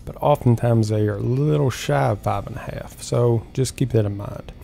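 A middle-aged man calmly explaining woodworking steps one at a time in an instructional voice-over. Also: medium pitch, normal speed, creaky, a little hiss or hum. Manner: calm, step-by-step explaining. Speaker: woodworking host.

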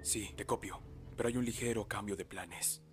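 Male actor as calm animatronic teammate on report, Latin American accent, low register. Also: moderate pace, soft background music, close to an everyday voice. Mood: matter-of-fact. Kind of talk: amateur animated fandub acting.

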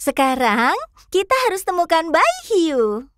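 A woman's voice: very high-pitched, sing-song, a little slow, background noise, heavily acted. A woman voicing a cheerful, curious little fox in a children's cartoon, playfully addressing young viewers. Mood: bright, excited. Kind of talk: children's cartoon narration and dialogue.

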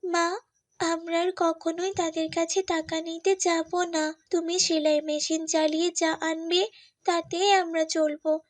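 A high, youthful voice of a poor, pious girl in a cartoon played by a woman. She speaks politely and earnestly, with a plaintive, patient edge. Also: level tone, measured pace. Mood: hurt, resolute. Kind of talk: Bengali moral cartoon voice acting.

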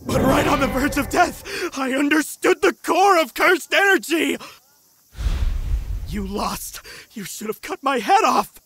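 Man voicing a manic, high-pitched cocky young sorcerer. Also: animated, a little slow, bright, forceful tone, heavily acted. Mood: giddy, manic. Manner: theatrical, gleeful rambling. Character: manic young male sorcerer, cocky, exhilarated, newly powerful. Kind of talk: anime manga fan dub.

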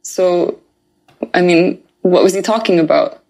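Lowish-voiced woman in earnest discussion. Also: unhurried, a little mellow, low-quality recording. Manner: engaged, emphatic, with repeated words. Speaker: podcast co-host. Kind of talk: casual religious discussion.

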